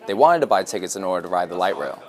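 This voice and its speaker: Fast, animated low-voiced newsman. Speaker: news reporter. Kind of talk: scripted news narration.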